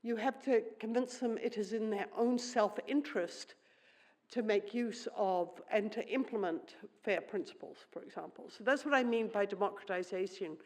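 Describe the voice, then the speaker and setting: A woman's voice: mid-range voice, normal speed, darkish tone. Middle-aged woman, swedish first language, raised in nz, chatty keynote, wry, lots of uhs. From New Zealand. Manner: conversational, wry, thinks aloud with frequent fillers. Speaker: keynote speaker. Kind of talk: conference keynote.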